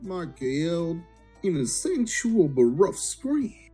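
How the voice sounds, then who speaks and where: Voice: mid-range voice, very animated, slow pace, warm tone, slightly rough, faint music behind. A person giving a crude, mock-dramatic reading of a comic story, sometimes breaking off into blunt asides. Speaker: amateur story reader.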